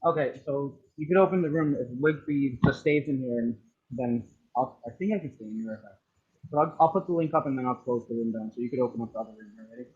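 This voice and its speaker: A man talks quickly and casually in an online audio room, helping to moderate. His pitch sits in the middle range. The connection sounds a bit dull and lo-fi. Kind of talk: casual chat. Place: live social audio app call.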